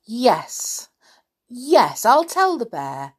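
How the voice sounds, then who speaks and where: A woman's voice: a little high, wildly swooping pitch, drawn-out words, breathy edge, pitched above the performer's own voice, exaggerated voice. A woman voicing a sly, bossy girl doll in a children's story, gushing and mock-polite. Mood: smug.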